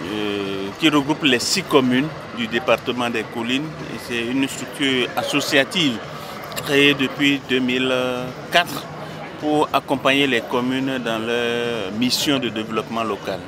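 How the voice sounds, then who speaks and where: A man with a Beninese French accent gives formal, measured interview answers as an association president, often pausing mid-phrase. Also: mid-range voice, fairly even intonation. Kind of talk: interview answers, explaining a project. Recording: noisy outdoor urban recording, traffic behind.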